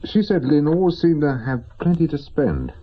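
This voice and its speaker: An actor playing a reluctant, reasonable investigator in a radio drama, English-accented, with a hesitant and conciliatory manner. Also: male voice, a little high, very animated, normal speed, husky, lo-fi sound. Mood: tentative, reasoning. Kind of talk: naturalistic radio drama acting.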